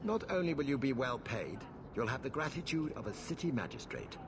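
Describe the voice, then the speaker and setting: An actor playing a haughty old city magistrate in a video game, speaking in a pompous, commanding manner. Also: male voice, mid-range voice, very animated, a little fast, natural voice. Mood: imperious. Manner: pompous, imperious. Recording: noisy ambient background.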